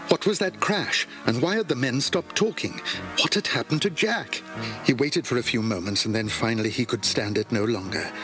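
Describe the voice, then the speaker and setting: A man narrating a children's adventure story in a scripted, suspenseful and deliberate way. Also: medium pitch, close to an everyday voice. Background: music under the voice.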